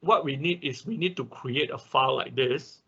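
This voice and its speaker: A man who speaks English as a second language, giving a live technical tutorial step by step in a halting manner, often checking with short tag words. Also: medium pitch, vocal fry, pushed and bright, breathy edge. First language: not English. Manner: halting, often repeats and checks with okay or right. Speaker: workshop instructor. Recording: dull, lo-fi online class audio.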